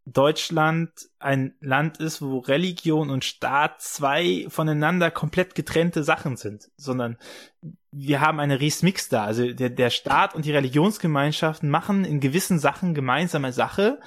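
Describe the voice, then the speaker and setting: A young man co-hosting a casual podcast debate, animated and sarcastic, with many restarts and fillers. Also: fairly high pitch, moderate pace. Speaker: podcast co-host.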